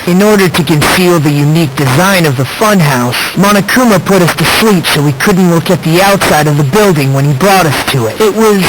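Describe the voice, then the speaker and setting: A man voicing a condescending, eerie teenage boy in a video game, with measured, smugly polite, theatrical delivery. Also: high-pitched, noisy background. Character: soft-spoken, condescending, eerie teenage boy obsessed with hope. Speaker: video game character voice actor. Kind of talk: scripted game dialogue, mystery exposition.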